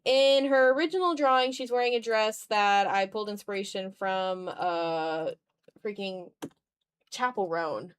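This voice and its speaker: A woman's voice: mid-range voice, a little slow, clean tone. A woman hosting a casual art livestream, thinking aloud in a self-deprecating way as she draws. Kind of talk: casual chat while drawing on a livestream.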